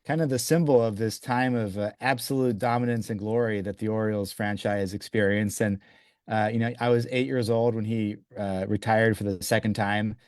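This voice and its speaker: A man's voice: lowish voice, steady tone, normal speed, a little mellow, no room sound. Eager author in his forties, dry sound. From Belgium. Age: 40s. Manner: enthusiastic, restarts often, says "you know". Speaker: author guest. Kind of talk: interview answers.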